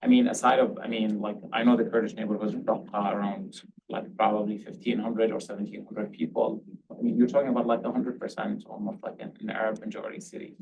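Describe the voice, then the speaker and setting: A low, gravelly-voiced man from Syria answers questions on a policy panel, circling back and restarting his points with frequent ums. He sounds set back from the microphone, with faint noise in the room behind him. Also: flat delivery, quick pace, few pauses, a little mellow, highs cut off. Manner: hedging, restarts often with um and I mean. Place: panel event hall. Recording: distant mic, faint background noise.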